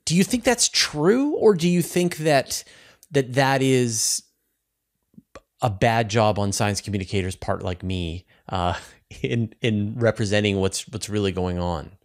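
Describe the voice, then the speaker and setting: A man hosting a conversational science panel, feeling his way through questions in a halting manner. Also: fairly low pitch. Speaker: host and science communicator interviewing scientists.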